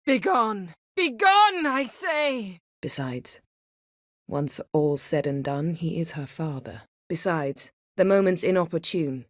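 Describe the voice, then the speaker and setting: A woman voicing a proud, sharp-tongued sorceress in a video game, speaking in formal, crisp, imperious phrases with composure and wry wit. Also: on the low side, sing-song, slow, no room sound, clean studio sound, heavily acted. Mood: forceful, commanding, then quiet, subdued. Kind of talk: video game character dialogue.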